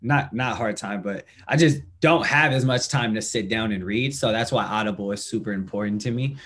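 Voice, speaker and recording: Low-voiced young male podcast host. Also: moderate pace, fairly bright tone. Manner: friendly and talkative, frequent fillers and affirmations. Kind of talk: casual interview chat.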